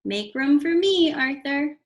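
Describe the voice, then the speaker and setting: A woman's voice: high voice, lively intonation, slow pace, pitched above the performer's own voice. A woman voicing an eager, kind schoolboy from a children's storybook, exclaiming keenly.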